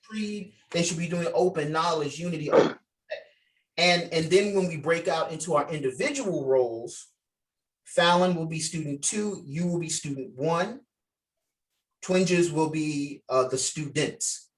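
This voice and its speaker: Guy with a slightly high voice running a read-through, explaining parts and reading scene directions. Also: a little slow, distant mic, low-quality recording. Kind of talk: giving instructions and reading stage directions.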